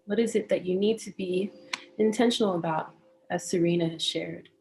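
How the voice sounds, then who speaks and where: American woman, unhurried gentle meditation teacher. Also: fairly low pitch, slight background noise. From California, United States. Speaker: meditation teacher and session host. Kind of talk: welcoming introduction to a guided meditation, personal talk.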